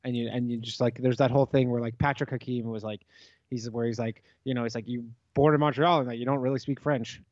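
A man hosts a relaxed podcast chat, agreeing readily and trailing off mid-sentence. He talks fast in a middling voice, and the recording is a little dull and dry. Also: medium pitch, no echo. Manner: quick, agreeable, lots of yeahs, trails off.

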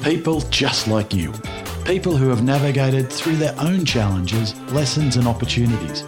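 A male podcast host giving a steady, earnest, welcoming scripted introduction and then chatting with his guest. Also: medium pitch, moderate pace, music in the background.

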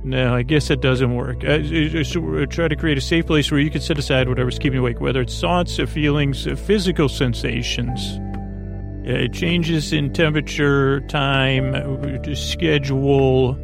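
Creaky-voiced man rambling through a bedtime monologue. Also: mid-range voice, fairly even intonation, music in the background. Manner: meandering, halting, self-interrupting, silly. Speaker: host of a bedtime sleep podcast.

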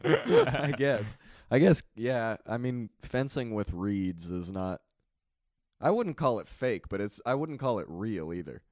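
A young man co-hosting a casual movie podcast, trading deadpan, ironic jokes. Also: fairly low pitch, unhurried, no room sound, studio quality. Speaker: podcast co-host. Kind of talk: casual movie-review chat.

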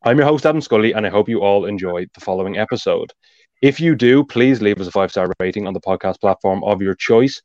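An Irish man with a low, deep voice hosts a casual football podcast. He talks quickly and explains tactics at length. The recording is dry and a little dull, like a home podcast mic. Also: darkish tone, dry recording. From Ireland. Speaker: podcast host.

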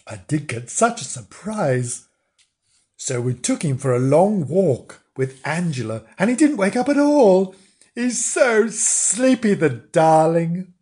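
A sweetly silly little girl from a children's storybook, put on by a man. She gushes with wide-eyed innocence, high and drawn out, pitch swooping freely. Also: expressive, measured pace, dark tone, lightly acted. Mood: delighted.

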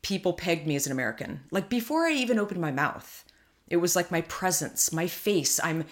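A woman's voice: on the low side, very animated, a little fast. A woman from California co-hosting a podcast, chatting casually and thinking aloud with many fillers and restarts. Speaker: podcast co-host. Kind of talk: casual chat.

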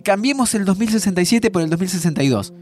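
Lively young Argentine time traveller. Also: male voice, sits high, quick pace, faint music behind. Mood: playful, insistent. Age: young adult. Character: young man time traveller, cheeky, playful and warm-hearted. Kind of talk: naturalistic audio drama acting.

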